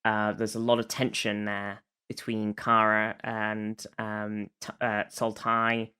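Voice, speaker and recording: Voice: medium pitch, monotone, takes it slowly, pushed and bright, smooth. A podcast host recapping a show in casual conversation, feeling for words along the way.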